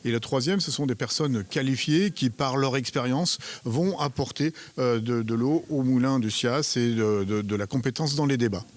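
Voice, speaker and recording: A man giving measured explanatory interview answers as a local official, hesitating and repeating words as he searches for phrasing. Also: mid-range voice.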